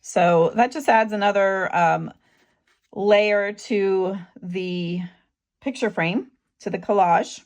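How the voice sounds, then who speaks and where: A middle-aged woman with a sore throat whose voice keeps cutting in and out, talking through a craft tutorial in a relaxed, halting way with lots of ums. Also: medium pitch. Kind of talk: casual craft tutorial narration.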